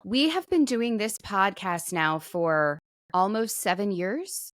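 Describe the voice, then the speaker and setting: Teasing, deadpan woman podcast co-host. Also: mid-range voice, takes it slowly, no room sound, clean studio sound. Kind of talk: casual banter.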